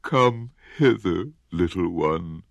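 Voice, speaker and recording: A man voicing a sly old crocodile who coaxes in slow, drawn-out words in a storybook reading. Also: low-pitched, lively intonation, vocal fry, no room sound, heavily acted. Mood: coaxing.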